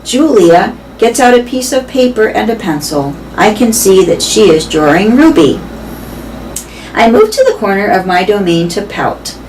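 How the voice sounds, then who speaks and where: A woman's voice: medium pitch, sing-song, normal speed, darkish tone, far from the mic, low-quality recording. A woman reading a children's story aloud in a storytelling style. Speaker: reader of a children's novel. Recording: clear background noise.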